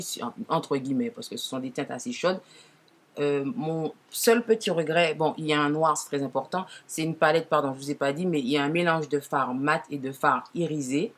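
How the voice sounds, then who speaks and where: Deep-voiced woman with a cold. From Martinique. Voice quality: naturally deep voice. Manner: informal, frequent self-corrections. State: deeper, hoarse-sounding from a cold. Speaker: makeup tutorial host. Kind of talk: casual chat to viewers, product presentation.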